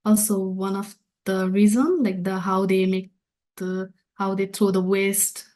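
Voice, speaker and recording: A woman with a Nepali accent moderates an online question session. Her voice sits at a middle pitch, and she is polite, with somewhat halting phrasing. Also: steady tone, mellow. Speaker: webinar host.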